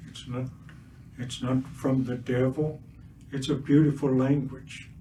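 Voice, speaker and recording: Older man, first language blackfoot, talks slow and soft, mic kinda far off w faint hiss. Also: medium pitch, little pitch movement. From Siksika Nation, Alberta, Canada. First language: Blackfoot (Siksika). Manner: slow, earnest, many pauses and "uh" fillers, gentle humor. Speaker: guest speaker, residential school survivor. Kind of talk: public talk at a livestreamed community event. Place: cultural centre event space. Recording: distant mic with faint ambient noise.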